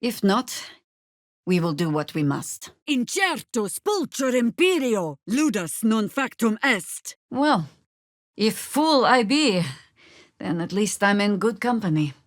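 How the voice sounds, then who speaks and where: A woman voicing a battle-weary, middle-aged druid in a fantasy video game, dry and wry, speaking in measured, formal phrases. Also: medium pitch, no echo. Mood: incanting spells. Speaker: video game companion character.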